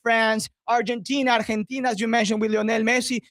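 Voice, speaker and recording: A man's voice: extremely high pitch, flat delivery, quick pace, a bit bright, no room sound. A man speaks as a guest on sports talk radio in a dry, close sound. He is emphatic and promotional, repeating key phrases to drive each point home. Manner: emphatic, builds points with repetition.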